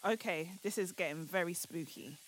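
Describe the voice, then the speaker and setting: A woman's voice: fairly low pitch, normal speed, lightly acted, rough recording. An actress playing an awestruck woman helping out in an audio play. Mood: awed, spooked. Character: awestruck female helper at an emergency birth. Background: music.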